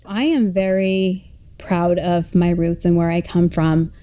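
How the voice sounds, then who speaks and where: Woman in her 30s from colorado, lowish mellow voice, thoughtful, pauses and restarts a lot. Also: fairly even intonation. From Colorado, United States. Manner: reflective, halting, with many fillers and restarts. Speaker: oral history storyteller. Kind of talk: personal storytelling.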